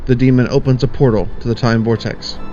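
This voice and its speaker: A man's voice: mid-range voice, fairly even intonation, a little fast. Plain-voiced male narrator over music. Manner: plain, matter-of-fact. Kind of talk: scripted narration. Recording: slightly dull lo-fi sound over music.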